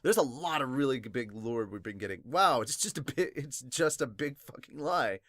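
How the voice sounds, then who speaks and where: Excitable sniffly man, close dry recording. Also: medium pitch, normal speed, no room sound. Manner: excitable, casual swearing. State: congested, sniffly from crying. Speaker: podcast host reviewing manga. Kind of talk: casual fan reaction, reading viewer comments aloud.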